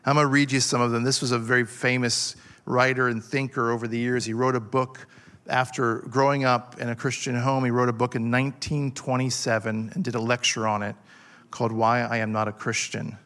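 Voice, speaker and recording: A man's voice: medium pitch, flat delivery, a bit bright. Male pastor, 40s, fervent sermon delivery, stop-start phrasing, mid-range voice. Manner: impassioned, halting restarts with frequent fillers.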